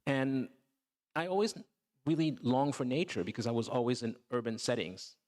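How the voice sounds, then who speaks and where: A man's voice: medium pitch, expressive, moderate pace, darkish tone. A man born in Togo, a film producer and guest on a panel, answering reflectively and often adding "you know" and "right". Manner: thoughtful, repeats words when starting, says "you know" and "right".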